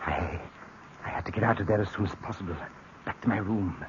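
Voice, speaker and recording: Low-voiced guy doing tense first-person radio drama narration, old muffled recording. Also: a little fast, noisy background. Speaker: narrator and lead. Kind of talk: 1940s radio acting.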